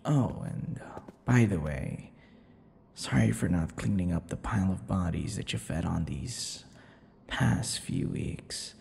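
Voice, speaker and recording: A man playing a possessive vampire lover in an intimate ASMR roleplay, teasing and menacing, murmuring slowly with drawn-out words. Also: big pitch swings, darkish tone, full character voice. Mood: doting, casual. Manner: slow, breathy murmurs, drawn-out words, low chuckles. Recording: long reverb, faint background noise.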